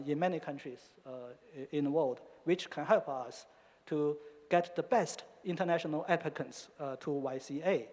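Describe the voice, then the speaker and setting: A man's voice: on the high side, a little mellow. A man speaking on a conference panel as a university professor, hesitant with frequent fillers. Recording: long hall reverb.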